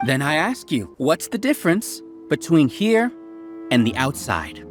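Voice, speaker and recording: An actor playing an arrogant scholarly mastermind in a video game, speaking in a theatrical, condescending and menacing way. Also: male voice, a little high, very animated, unhurried. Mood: smug. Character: arrogant scholarly villain, grudge-bearing male cult mastermind. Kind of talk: English video game voice acting. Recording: music behind the voice.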